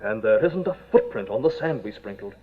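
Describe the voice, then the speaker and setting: A man's voice: on the low side, animated, fairly quick, close to an everyday voice. Man as dutiful army captain, muffled old radio. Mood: brisk, tense. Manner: respectful, clipped military formality. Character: dutiful, deferential army captain, aide to a colonial governor. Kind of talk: old-time radio drama acting.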